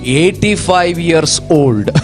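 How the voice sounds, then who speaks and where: A man preaching a sermon in English with an Indian accent, pausing for an interpreter and breaking into hallelujahs as he rises to a rousing call. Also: animated. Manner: exclamatory, punctuates with hallelujah, rises to rousing pitch. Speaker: pastor. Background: faint music.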